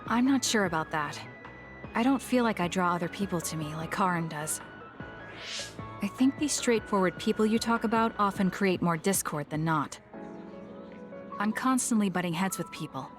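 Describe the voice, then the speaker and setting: A woman's voice: on the low side, expressive, moderate pace, music in the background, close to an everyday voice. An actress playing a cool, blunt, determined young female soldier in a video game, reserved and matter-of-fact. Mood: reflective.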